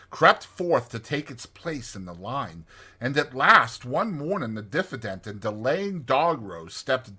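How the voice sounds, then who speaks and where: Bright, husky male audiobook narrator. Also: medium pitch. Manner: expressive, theatrical. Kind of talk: dramatized storybook narration.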